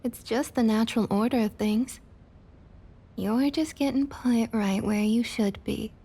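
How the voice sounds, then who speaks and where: A woman playing a domineering, patronizing vampire councilwoman with a Southern US accent and a husky, breathy voice, in an ASMR roleplay. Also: on the high side, warm tone. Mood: amused, condescending. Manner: condescending, drawling pet names, slow and deliberate.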